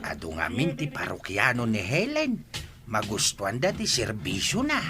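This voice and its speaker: Man acting an animated older village uncle. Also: lowish voice, moderate pace. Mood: matter-of-fact. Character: older village uncle, plain-spoken and matter-of-fact. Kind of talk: audio drama acting.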